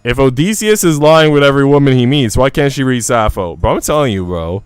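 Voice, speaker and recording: A man's voice: mid-range voice, animated, brisk, clear, resonant tone, no echo, soft background music. A man live streaming, switching between casual slangy chat and reading visual novel narration aloud. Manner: slangy, informal.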